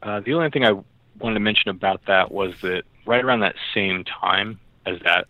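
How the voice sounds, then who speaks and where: Man as research co-host, low register, tentative casual explainer, heard over a muffled call line. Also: moderate pace, no echo. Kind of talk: casual analytical discussion. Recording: muffled narrow phone-like line.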